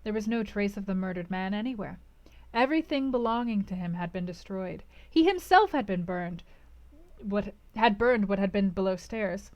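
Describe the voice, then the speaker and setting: A woman reading a classic novel aloud as a book club host, with theatrical emphasis, dramatic pauses and swings from hushed to intense. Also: medium pitch, lively intonation.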